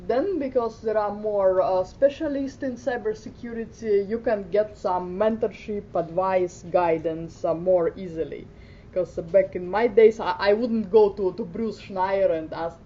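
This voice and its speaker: Middle-aged woman from Finland, halting conference speaker, dull recording with a low rumble. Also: warm tone. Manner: hesitant, many fillers, plain delivery. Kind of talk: conference talk. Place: conference room. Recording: muffled conference audio with faint rumble.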